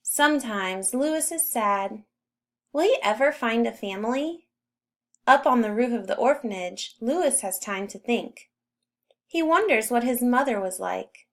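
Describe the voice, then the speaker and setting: A woman narrating a children's story as a read-aloud, with expressive emphasis on key words. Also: on the high side, measured pace. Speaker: storybook reader.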